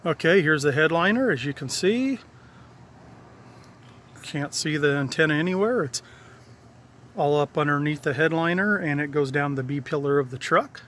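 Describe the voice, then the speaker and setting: A man's voice: fairly high pitch, normal speed, pauses often, a bit bright, slight background noise. A man giving a casual, unscripted hands-on walkthrough of an installation, explaining things in a matter-of-fact way. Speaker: hobbyist presenter showing a radio installation.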